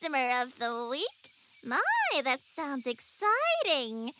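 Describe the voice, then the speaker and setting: A sweet, flattered witch woman in a fairy-tale audio comedy. She talks slowly in a high voice, with clear outdoor animal sounds behind her. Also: slight background noise, exaggerated voice. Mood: surprised, pleased. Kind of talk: fantasy comedy audio drama.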